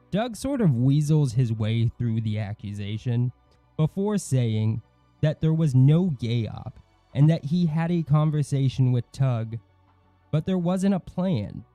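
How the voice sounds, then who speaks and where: Man narrating, very dark mellow voice, dry. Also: mid-range voice, no room sound. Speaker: commentary video host. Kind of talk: scripted narration commentary.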